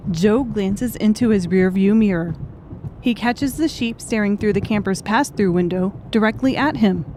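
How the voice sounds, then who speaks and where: A woman's voice: medium pitch, normal speed, warm tone, a little hiss or hum. A woman giving scripted audiobook narration as the storyteller of a drama.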